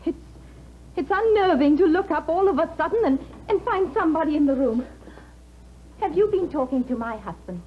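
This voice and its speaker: An actress playing an anxious young wife who is tense, pleading and often near tears, in a 1950s radio drama. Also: female voice, high-pitched, lively intonation, moderate pace. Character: anxious young wife, fearful for her husband. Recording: dull 1950s radio broadcast with faint hiss.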